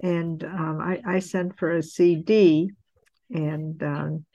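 A woman speaks in a fairly low register with a very dry, close sound. She is chatting casually with a group, feeling her way through sentences with frequent hesitations. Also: fairly even intonation, takes it slowly, dark tone, dry recording, lo-fi sound. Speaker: participant on a group call. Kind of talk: casual chat.

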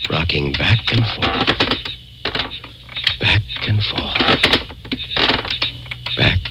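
An actor playing a haunted, guilt-ridden man who narrates a radio suspense tale in a brooding, deliberate, formal way. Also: deep voice, expressive, lo-fi sound, heavy background noise, heavily acted. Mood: eerie suspense. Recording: old radio recording with music.

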